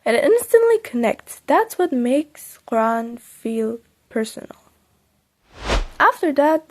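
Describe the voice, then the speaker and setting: A young woman whose first language is Persian, giving casual, upbeat tips on studying the Quran. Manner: upbeat and chatty, with sudden bright lifts in pitch. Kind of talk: casual instructional vlog.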